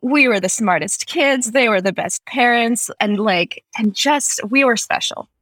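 A woman's voice: unhurried, dry recording. A woman with an American accent, born in Russia, talking casually as a writer guest, witty with frequent laughs. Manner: witty, laughs often, lively jumps in pitch. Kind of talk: casual interview chat. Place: San Francisco.

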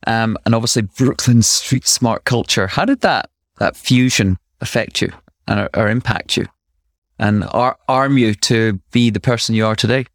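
A man hosting a podcast, who reads his intro smoothly and chats with small restarts. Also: lowish voice, moderate pace, no room sound, studio quality. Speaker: podcast host. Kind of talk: interview podcast with scripted intro. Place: home in Brooklyn, remote call.

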